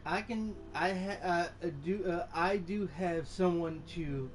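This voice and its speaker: Slow, halting male gamer. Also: high-pitched, a little hiss or hum. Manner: halting, hesitant. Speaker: gameplay channel host. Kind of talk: reading along and improvising over gameplay.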